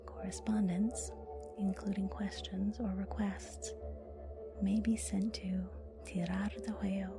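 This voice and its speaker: A woman's voice: medium pitch, flat delivery, slow. A woman reading a scripted podcast announcement in an ASMR whisper. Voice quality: whispery, unvoiced. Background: music.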